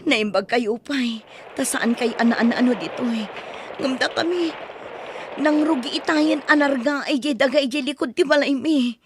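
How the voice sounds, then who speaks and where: A woman's voice: high-pitched, normal speed, noisy background, close to an everyday voice. A woman playing an anxious mother of a poor family who pleads with her household during a typhoon, in a radio drama. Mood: tearful. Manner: fretful, pleading. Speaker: voice actor.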